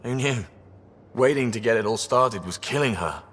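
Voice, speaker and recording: An actor playing a wry, chatty spaceship engineer in a video game. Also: male voice, mid-range voice, lively intonation, normal speed, fairly bright tone, slightly rough, long reverb tail. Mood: breezy, wry. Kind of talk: video game character acting.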